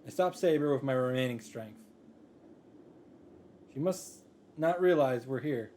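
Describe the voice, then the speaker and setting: A man's voice: medium pitch, measured pace, darkish tone. Man reading a story dramatically over noise. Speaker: video creator reading a visual novel aloud. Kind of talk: dramatic read-aloud narration with off-the-cuff reactions.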